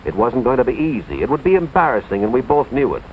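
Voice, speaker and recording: An American man playing an earnest, authoritative school official, narrating a cautionary tale in a deliberate, moralizing 1950s educational film style, with dramatic emphasis. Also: medium pitch, very animated, a little hiss or hum, natural voice. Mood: earnest, firm. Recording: tinny, band-limited 1950s film soundtrack, music behind.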